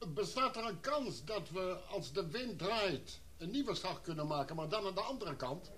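A man's voice: sits high, animated, normal speed, fairly bright tone. Een man die in een hoorspel een respectvol, vragend expeditielid speelt, beleefd van toon. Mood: concerned. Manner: polite, questioning. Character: respectful, questioning member of an expedition meeting. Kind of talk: radio drama acting. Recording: faint background noise.

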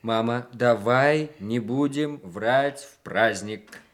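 A man's voice: mid-range voice, slow, fairly bright tone. A man acting a sullen, guarded young son in a Soviet radio play. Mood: sullen, curt. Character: sullen, guarded grown son leaving the army.

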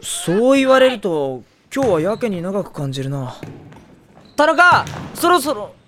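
A man playing an easygoing, kindly high school boy in an anime audio drama, with a friendly, reactive manner. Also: sing-song, a little slow, sharp tone, exaggerated voice. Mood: concerned.

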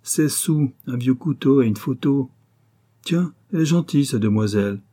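Man doing a loud, coarse convict bandit, crude and mocking, a higher, brash voice pushed well above a deep, husky base, in a storybook reading. Slangy and jeering throughout, with a rough, dark tone. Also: lively intonation, normal speed. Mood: grumbling.

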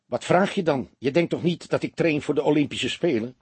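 Husky, wry older detective acted by a man. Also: fairly high pitch, brisk, darkish tone, no echo, voice pushed up. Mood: hurried, wry. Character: older heavyset male police detective, calm, wry, commanding. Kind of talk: audiobook character dialogue.

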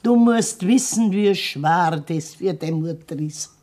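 A woman's voice: lowish voice, slow, mellow. An actress playing a stern, pragmatic old farm grandmother with a Bavarian accent in a dialect radio drama, blunt and scolding. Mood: matter-of-fact, insistent. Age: older.

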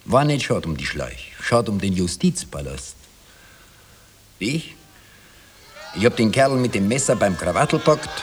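A man's voice: fairly low pitch, sing-song, measured pace, pauses often, a little hiss or hum. An actor playing a wry Viennese man who tells of his youth in ironic, colloquial radio drama narration. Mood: wry reminiscing.

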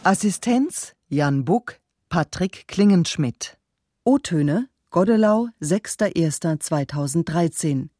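Low-voiced, slow woman announcer. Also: lively intonation, warm tone. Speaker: radio announcer reading credits. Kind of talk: scripted credits announcement. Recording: radio production with music behind. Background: instrumental music.

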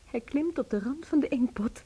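An actress in a Dutch radio play playing a lovestruck, stubborn mistress, dreamy, dramatic and rapturous. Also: female voice, high-pitched, expressive, normal speed, mellow, breathy edge, dull audio, heavily acted. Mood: adoring, excited.

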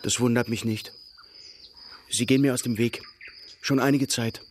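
A man's voice: mid-range voice, fairly even intonation, normal speed, slight background noise, lightly acted. An actor playing a charming, light-hearted artist, courteous and ardent as he courts his cousin in a radio drama. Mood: earnest, pleading.

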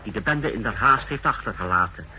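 An actor playing a precise, deferential secret-service laboratory chief in a 1960s radio drama, with a formal, clinical manner. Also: male voice, on the low side, expressive, fairly quick, pushed and bright, slight background noise, rough recording. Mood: clinical, businesslike. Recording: vintage 1960s radio recording.

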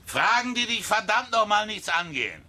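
An actor playing a brutish small-town bully in a German radio drama, raspy, coarse, taunting and threatening. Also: male voice, high-pitched, sing-song, moderate pace, bright, forceful tone, a little hiss or hum, exaggerated voice. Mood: aggressive.

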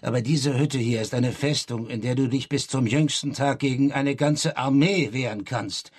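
Ein Schauspieler spricht in einem Hörspiel einen prahlerischen, lebenserfahrenen Buschräuber, derb, volkstümlich und überredend. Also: male voice, mid-range voice, normal speed, breathy edge, natural voice. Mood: proud, instructive. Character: boastful, worldly old-hand bushranger mentoring a boy. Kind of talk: radio drama acting.

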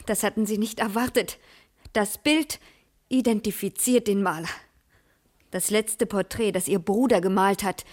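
An actress playing a sharp, self-assured female private detective in a 1980s East German radio crime drama, with deliberate delivery. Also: medium pitch, lightly acted. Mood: coolly triumphant.

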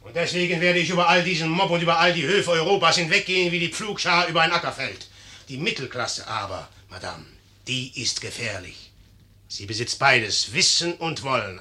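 An actor playing a proud, ambitious young Napoleon in an imperious, declamatory manner in a 1950s radio play. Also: male voice, medium pitch, normal speed, bright, forceful tone. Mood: grandiose.

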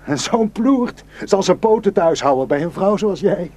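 A man's voice: high-pitched, animated, moderate pace, darkish tone. An actor in a radio drama playing a protective, suspicious, hot-tempered village herdsman and husband, scornful and impulsive. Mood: angry, threatening.

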